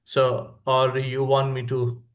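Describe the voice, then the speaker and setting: An older man from Bangladesh with a Bangladeshi accent, speaking in a halting, reflective way as a guest presenter on an online webinar. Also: medium pitch, monotone. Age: 60s or older. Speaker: professor of palliative medicine, guest speaker. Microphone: online video call.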